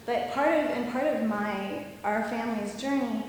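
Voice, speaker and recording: A woman's voice: on the high side, normal speed, a little mellow, a little hiss or hum. Earnest woman in a very echoey hall. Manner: earnest, reflective, restarts her sentences. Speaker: audience member. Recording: very echoey hall, faint ambience.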